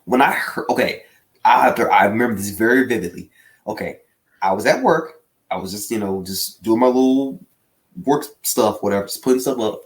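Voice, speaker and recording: A man co-hosting a podcast, telling things casually and excitably, repeating phrases for emphasis. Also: fairly low pitch, fairly quick, low-quality recording. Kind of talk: casual animated storytelling.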